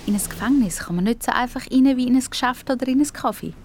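A woman's voice: fairly high pitch, quick pace, noisy background. A woman with a Swiss German accent narrating a scripted audio story for children.

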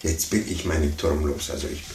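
An actor playing a pompous, condescending sore loser, stammering and blustering in a 1950s radio play. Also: male voice, a bass voice, brisk, vocal fry, darkish tone. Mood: flustered, indignant. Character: pompous adult man, a blustering, sore-losing chess player. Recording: roomy, noisy 1950s radio recording.

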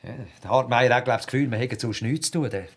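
An actor playing a chatty, sarcastic office colleague with a Swiss accent, jovial and rambling, in a radio drama. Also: male voice, mid-range voice, fast talker, husky, natural voice. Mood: mocking. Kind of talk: radio drama acting in casual chat.

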